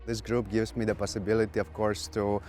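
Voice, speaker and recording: A man's voice: fairly low pitch, little pitch movement, brisk, over music. A man whose first language is Latvian, a dancer, speaking thoughtfully in an interview and searching for words.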